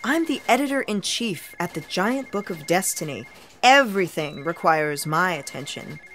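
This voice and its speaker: A woman's voice: on the low side, animated, normal speed, fairly bright tone, a little hiss or hum. An actress playing a brusque, impatient female editor-in-chief in a comic sci-fi audio play. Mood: impatient, bossy. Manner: curt, impatient, demanding.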